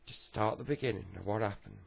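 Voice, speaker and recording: A man's voice: fairly low pitch, fast, vocal fry, husky. A man voicing a grandfather who soothes his grandson in coaxing, repetitive reassurances, in a comic story. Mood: gentle, coaxing.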